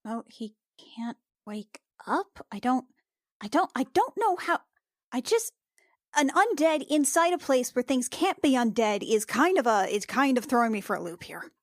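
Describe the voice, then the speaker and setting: A woman with a fairly high voice improvises as a player in a tabletop fantasy game. She speaks haltingly and circles back over her words, recorded very dry. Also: very animated, no room sound. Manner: halting, repeats herself. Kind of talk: in-character improvised roleplay and game talk.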